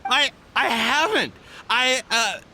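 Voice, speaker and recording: A man's voice: very high-pitched, lively intonation, takes it slowly, pushed and bright, full character voice. A man playing a nervous, evasive island merchant in a video game, stammering and hesitant as he pleads his case. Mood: flustered.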